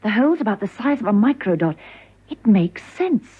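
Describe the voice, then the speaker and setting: An actress playing a brisk, sharp-witted woman secret agent in a classic spy radio drama, teasing and confident. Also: medium pitch, sing-song, husky. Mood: keen, deducing. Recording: muffled old radio broadcast recording.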